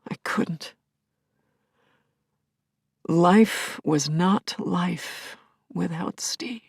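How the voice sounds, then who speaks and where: A woman's voice: fairly low pitch, extremely slow, creaky, a little mellow, slightly rough, no room sound, clean studio sound, heavily acted. An actress playing a grieving middle-aged widow in an audio play, telling her story in a searching, intimate way that can drop toward a whisper. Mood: wistful, subdued. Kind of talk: dramatic first-person monologue, audio play.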